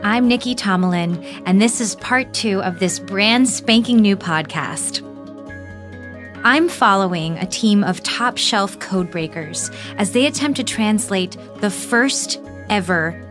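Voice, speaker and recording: A woman's voice: mid-range voice, measured pace, over music, close to an everyday voice. A woman with an American accent playing an eager, teasing podcast host narrating a documentary mystery. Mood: eager, intriguing.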